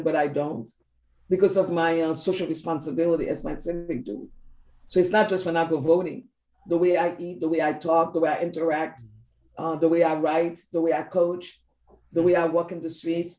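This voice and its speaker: A woman's voice: deep voice, level tone, a little fast, warm tone. Woman, non-native English speaker, coach, earnest, emphatic, echoey room. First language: not English. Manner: earnest, emphatic, repeats key phrases. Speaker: team coach. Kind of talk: casual conversational interview. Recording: roomy echo.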